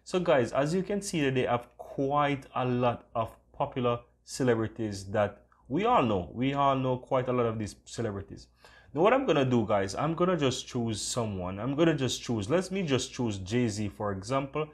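A man's voice: medium pitch, lively intonation, moderate pace. A man hosting a casual screen-recorded tutorial, talking in an enthusiastic, repetitive way. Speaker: tutorial host.

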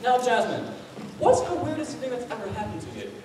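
A teenage American actor playing a showy, wisecracking game show host in a live stage comedy skit, announcing with drawn-out flair. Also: male voice, extremely high pitch, sing-song. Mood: smooth hosting. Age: teens. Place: school auditorium stage. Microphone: handheld mic through PA, far camera. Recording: echoey noisy auditorium from far off.

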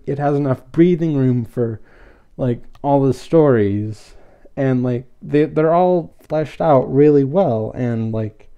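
A man's voice: mid-range voice, warm tone. A man hosting a podcast, casually reviewing an episode in a rambling, hesitant but enthusiastic way. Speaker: podcast host.

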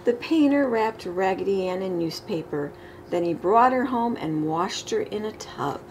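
A middle-aged woman reading a children's picture book aloud with expressive, emphasized storybook delivery. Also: mid-range voice, moderate pace, poor audio. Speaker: storytime reader. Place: living room, indoors. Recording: clear background room noise.

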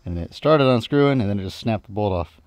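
Laid-back junkyard guy, lower voice. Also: quick pace. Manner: relaxed, plain-spoken, trails off quietly at the ends of remarks. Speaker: junkyard owner and channel host. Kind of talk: casual vlog walkthrough. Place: outdoor junkyard and inside a pickup truck.

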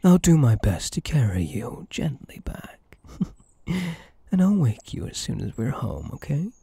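Man playing a husky, gravelly possessive elf. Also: medium pitch, big pitch swings, a little slow, dark tone, no room sound, heavily acted. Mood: soothing, protective. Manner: coaxing, soothing, intense, often dropping to a whisper. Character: possessive, intense yet tender male elf hunter, devoted suitor. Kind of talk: ASMR roleplay, intimate close-up delivery.

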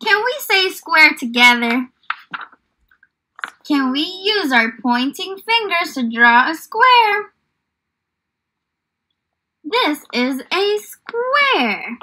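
High, animated young woman teaching children. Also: drawn-out words, long pauses. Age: young adult. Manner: encouraging, exaggerated child-directed delivery with questions. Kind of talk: children's lesson and read-aloud. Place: small indoor room.

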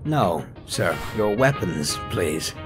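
An actor voicing a stiff, formal elf train engineer with polite customer-service manners. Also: male voice, sits low, big pitch swings, takes it slowly, soft background music, full character voice. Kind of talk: comic dub voice acting.

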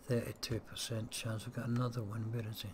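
A man's voice: medium pitch, level tone, fairly quick, slight background noise. A man casually commentating while playing a strategy game, chatty and muttering to himself, on a close desk mic. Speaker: let's play gamer. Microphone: desk mic set close.

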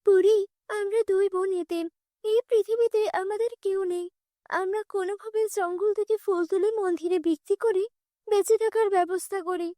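A cautious, humble orphan girl in a cartoon fairy tale, played by a woman. She speaks earnestly and warily in a very high voice. The recording is dry. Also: mellow, dry recording. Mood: humble, pleading.

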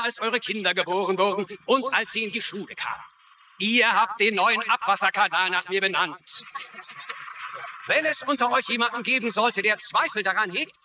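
A man's voice: sits high, bright, forceful tone, slight background noise. A man playing an indignant small-town mayor in a TV drama, speaking emphatically as if addressing his voters. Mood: solemn, rallying. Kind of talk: dubbed TV drama dialogue in German.

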